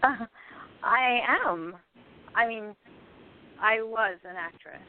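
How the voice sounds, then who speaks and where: A woman's voice: fairly high pitch, takes it slowly. A woman acting a hesitant, easily flustered, discouraged actress in a radio play. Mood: flustered, shy. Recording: tinny phone-like lo-fi audio.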